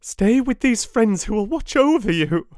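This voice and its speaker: A man voicing a terrified young wife, pleading in a high, strained voice. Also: animated, a little slow, a little mellow, no echo, clean studio sound. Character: terrified young wife begging her husband to stay.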